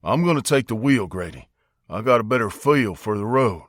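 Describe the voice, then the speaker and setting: A man's voice: fairly low pitch, expressive, no room sound, clean studio sound, close to an everyday voice. Man acting a drawling, folksy rural American man. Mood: steady, grave. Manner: folksy, unhurried, plain-spoken.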